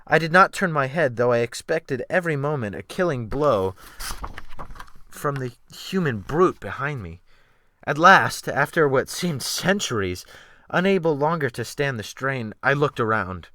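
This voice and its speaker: A man giving a theatrical, expressive first-person dramatic reading of a literary sea tale as an audiobook narrator. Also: fairly high pitch, no echo, clean studio sound. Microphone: USB desktop microphone.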